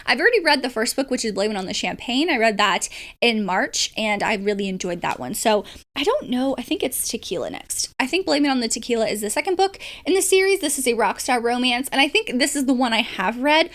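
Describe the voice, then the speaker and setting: Woman, higher voice, casual book chat, chatty, enthusiastic, informal vlogger delivery. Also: lively intonation, a little fast, fairly bright tone. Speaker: book vlogger and readalong host. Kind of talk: casual chat about books to read.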